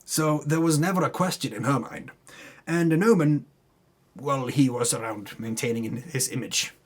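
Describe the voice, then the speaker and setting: A man's voice: medium pitch, moderate pace. A man giving a dramatic, tongue-in-cheek reading of a fantasy game recap, breaking off into muttered asides. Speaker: narrator recapping a tabletop game campaign.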